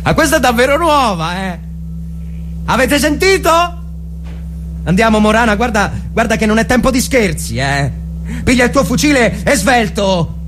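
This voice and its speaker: Un uomo che interpreta un giovane tenente angosciato e in preda al panico, teatrale, che ripete le parole in una lettura drammatica. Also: male voice, very high-pitched, very animated, normal speed, background noise, voice pushed up. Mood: incredulous, pressing. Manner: agitated, repeating words, theatrical. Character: anguished young lieutenant, panicky, torn between duty and pity. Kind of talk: dramatic literary reading for radio.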